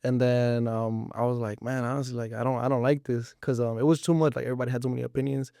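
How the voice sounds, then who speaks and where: Young guy, gravelly and slangy. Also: medium pitch, flat delivery, quick pace, dark tone, no room sound, clean studio sound. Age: about 20. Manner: slangy, informal, frequent swearing. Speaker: musician, interview guest. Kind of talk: casual interview chat with Spanish-English code-switching.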